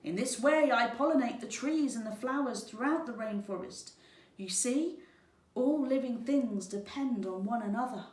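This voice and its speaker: Earnest storybook bee, played by a woman, insistent, slightly high, roomy off-mic sound. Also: moderate pace, darkish tone, lightly acted. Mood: earnest warning. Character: busy, earnest rainforest bee. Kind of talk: children's storybook reading. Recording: roomy, distant microphone.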